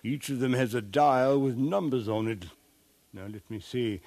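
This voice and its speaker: A man's voice: medium pitch, measured pace, a little mellow, no echo. A man acting a pompous, musing archaeologist of the far future in a 1950s radio drama. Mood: musing, puzzled. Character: solemn scholarly male archaeologist of the far future.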